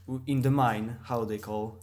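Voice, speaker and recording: A man with a non-native English accent co-hosting a casual podcast conversation, speaking haltingly as he searches for words. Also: mid-range voice, fairly even intonation, a little mellow. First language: not English. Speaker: podcast co-host.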